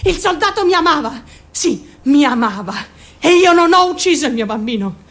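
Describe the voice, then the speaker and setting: A woman's voice: sits high, expressive, normal speed, fairly bright tone. An actress playing a troubled, defiant teenage girl who confides her story straight to the listener in a theatrical radio monologue. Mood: furious, defiant. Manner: confiding, addressing listeners directly, mimicking other voices.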